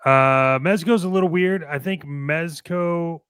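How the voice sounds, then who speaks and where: A man's voice: fairly high pitch, slow pace, a bit bright, clear, resonant tone, no room sound, clean studio sound. A man co-hosting a talk show in a casual, opinionated way, bluntly and emphatically, often repeating phrases for stress. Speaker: co-host of a toy collecting show.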